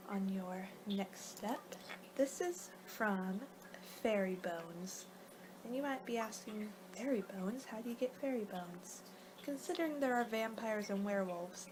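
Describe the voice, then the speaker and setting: A woman speaks softly in an ASMR roleplay, often dipping into a whisper. Her pitch is middling for a woman, and she rambles playfully from one thought to the next. Clear background noise sits under the voice.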